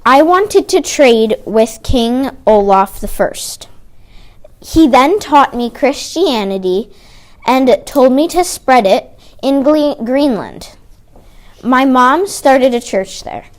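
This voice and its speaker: Norse explorer young woman reading, unhurried, fairly high voice. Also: lively intonation. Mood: dutiful. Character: Norse explorer son, dutiful and proud. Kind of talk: school-project role-play reading.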